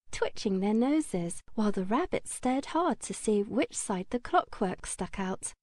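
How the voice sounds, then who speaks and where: A woman narrating a children's audiobook in a gentle, measured storytelling manner. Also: fairly high pitch, no room sound, clean studio sound.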